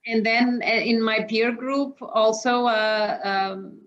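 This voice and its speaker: Guest: woman, Portuguese accent, slightly high voice, halting and filler-heavy, dull lo-fi call audio. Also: fairly even intonation, fairly bright tone. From Mozambique. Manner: hesitant, many fillers, laughs easily. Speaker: interview guest, operations executive. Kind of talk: casual video-call interview. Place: home office. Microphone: video call. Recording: muffled lo-fi video call audio.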